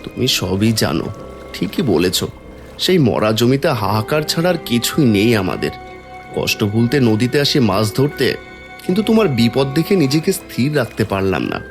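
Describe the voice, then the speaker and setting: A man's voice: mid-range voice, very animated, moderate pace, over music. A man voicing a poor, kind-hearted village farmer, plaintive and earnest, in a cartoon folk tale. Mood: humble, doubtful. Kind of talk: Bengali cartoon fairy tale acting.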